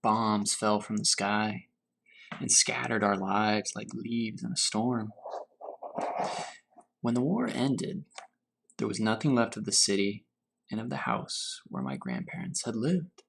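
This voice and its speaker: Male read-aloud teacher for children's storybook, lively intonation with a gravelly, creaky edge, faint noise behind. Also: fairly low pitch. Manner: pauses to pose questions to young listeners. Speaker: teacher reading to fourth graders.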